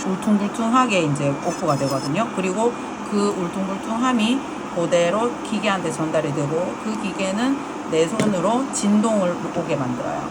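A woman's voice: medium pitch, very noisy. A woman nail technician with a regional Korean dialect, explaining a drill demo in chatty, explanatory talk. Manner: chatty, explanatory, soft-spoken while working.

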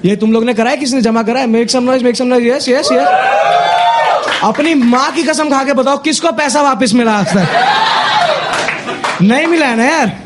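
High-voiced young Indian stand-up comedian, a man. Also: a little slow, pauses often, a loud crowd. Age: young adult. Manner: conversational, exasperated, acting out dialogues. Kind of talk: stand-up comedy storytelling in casual Hinglish. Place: small indoor comedy club stage. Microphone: handheld microphone. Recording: noisy live club with audience laughter. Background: audience laughter and noise.

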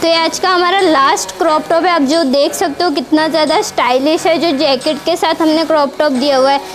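A woman's voice: high voice, fairly even intonation, fairly quick, a little hiss or hum. A young woman presenting outfits in a shop, with upbeat and repetitive sales patter, recorded on a lapel mic. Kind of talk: product showcase sales pitch. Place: indoor shop with green wall.

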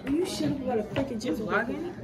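A woman's voice: high voice, animated, fairly quick, over a noisy crowd. A young woman classmate teasing the vlogger from across a classroom. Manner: teasing, snappy. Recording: distant phone mic, noisy classroom.

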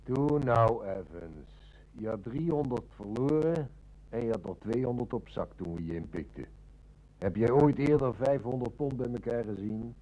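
A man's voice: mid-range voice, animated, moderate pace, mellow, highs cut off, natural voice. A middle-aged man acting a seasoned, confident police inspector in a Dutch radio play. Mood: dry.

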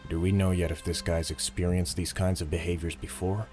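A man's voice: sits low, flat delivery, brisk, a little mellow, faint music behind, natural voice. A man playing a stern, principled police detective in an audio drama, dry, terse and weary. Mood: businesslike, weary.

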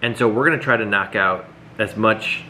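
A man in his thirties giving a quick, upbeat house tour and chatting at home. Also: fairly low pitch, pushed and bright, a little hiss or hum, low-quality recording. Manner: quick, upbeat, rambling with restarts. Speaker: vlog co-host. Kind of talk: casual house tour and chat. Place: living room at home, indoors.

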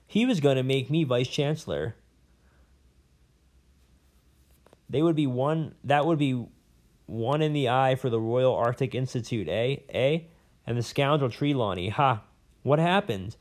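A man's voice: mid-range voice, darkish tone, voice pushed up, natural voice. A man voicing a pompous, rambling old male professor in an audiobook, blustering and fussy. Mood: boastful. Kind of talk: audiobook character voice.

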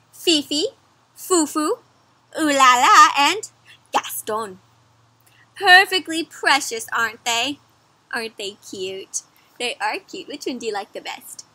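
A woman's voice: sits high, bright, forceful tone, dry recording. A young woman doing an interactive storybook read-aloud for children, speaking expressively and putting questions to her listeners. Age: young adult. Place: outdoors in a garden or patio.

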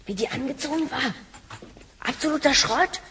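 An actress playing a brash, impatient, slangy youngster in a science fiction radio play, cheeky and whiny. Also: female voice, high voice, moderate pace, noisy background, natural voice. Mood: scornful, alarmed.

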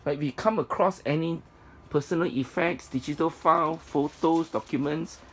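A man giving an informal, halting item-by-item walkthrough as a presenter at a storage unit. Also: fairly bright tone. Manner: halting, piecemeal delivery. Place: storage facility, at open unit. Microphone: handheld phone camera, speaker behind it. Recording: noisy handheld recording, traffic-like rumble.

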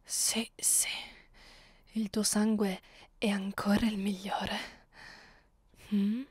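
Haughty vampire mistress played by a woman, ASMR roleplay. Unhurried, commanding, sadistic, intimate and dry. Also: mid-range voice, flat delivery, a little mellow, dry recording. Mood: seductive, imperious. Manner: commanding, slow and deliberate, slipping into breathy whispers. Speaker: roleplay narrator and voice actor. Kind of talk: ASMR roleplay, slow and close. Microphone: close, dry microphone.

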